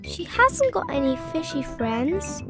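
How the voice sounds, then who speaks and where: A very high, bouncy little girl pig from a children's storybook, acted out by a woman reader. She is eager and childlike, with melodic, swooping speech over clear background music. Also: sing-song, slow pace, full character voice. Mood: concerned.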